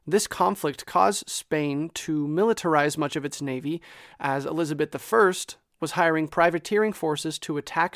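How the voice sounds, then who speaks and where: A man's voice: fairly high pitch, no echo. Man narrating history, close and dry. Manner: conversational, lightly self-deprecating. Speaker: history podcast host. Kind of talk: scripted history narration with casual asides.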